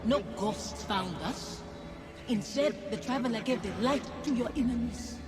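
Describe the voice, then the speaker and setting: Game role: vengeful spectral wife voiced by a woman, wounded and reproachful, every accusation drawn out slowly. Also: on the high side, music in the background, lightly acted. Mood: bitter, accusing. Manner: measured, cutting, reproachful. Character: accusing ghost of a dead wife, bitter, wounded. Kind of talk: dramatic video game acting.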